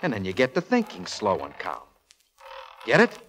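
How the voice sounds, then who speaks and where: An actor playing a polite, earnest young sailor with an American accent and folksy manner, in 1940s radio comedy. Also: male voice, lowish voice, wildly swooping pitch, normal speed, a bit bright. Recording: slightly noisy old radio recording.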